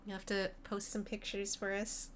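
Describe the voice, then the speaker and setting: A woman's voice: mid-range voice, completely flat delivery, a little hiss or hum. A woman streamer chatting casually with viewers while she draws, a little sniffly. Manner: slow, chatty, reads viewer messages aloud. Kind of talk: casual livestream chat while drawing.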